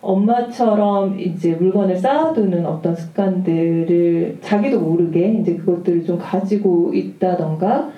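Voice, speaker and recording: A woman's voice: on the low side, normal speed, hardly any pauses. A woman moderating a film discussion, phrasing her questions in a tentative, roundabout way. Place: cinema hall at a festival Q&A. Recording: roomy, distant, muffled camera audio.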